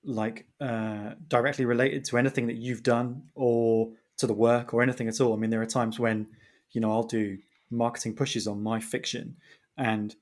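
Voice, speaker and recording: Male podcast host, medium pitch, informal and rambling, colourful phrasing, conversational interviewing. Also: little pitch movement, normal speed.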